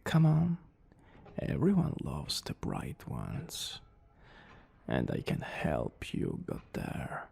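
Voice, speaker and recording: Casting: male actor as smug young student villain for romantic ASMR; creaky, whispery husk, teasing and unhurried. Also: a bass voice, huge pitch swings, darkish tone, heavily acted. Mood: coaxing, menacing. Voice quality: breathy, husky, often dropping to a whisper.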